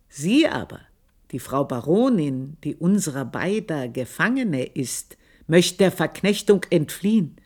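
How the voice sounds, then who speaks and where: An actress playing a bitter, vengeful old housekeeper in a radio drama, with a southern German or Austrian accent and a deliberate, intense delivery. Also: female voice, deep voice, a little mellow, roomy sound, exaggerated voice. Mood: fervent, ominous. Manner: deliberate, intense, drops into whispers. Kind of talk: slow, literary radio drama monologue.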